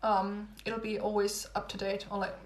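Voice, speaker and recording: A young woman chatting casually with livestream viewers, rambling and repeating herself with little laughs, her voice going hoarse. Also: mid-range voice, monotone, moderate pace. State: losing her voice. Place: home room. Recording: echoey room, phone livestream.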